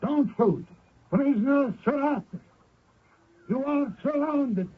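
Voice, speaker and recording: A man's voice: extremely high pitch, expressive, slow pace, heavily acted. A man playing a commanding military captain hunting an escaped prisoner, speaking formally in old-time radio drama style. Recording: muffled, very tinny old radio broadcast.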